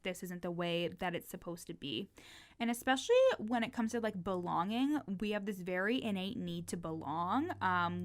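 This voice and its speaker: Casual young woman who swears a lot. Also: lowish voice. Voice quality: stutters. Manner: casual, swears freely, lots of "like" fillers. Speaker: podcast host and business coach. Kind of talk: casual solo podcast monologue.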